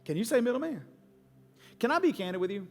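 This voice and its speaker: Guy in his 40s preaching, really high voice, big echoey church hall, music under him. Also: sing-song, fast talker. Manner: emphatic, candid, repeats phrases for effect. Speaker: pastor. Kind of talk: sermon. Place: large church auditorium.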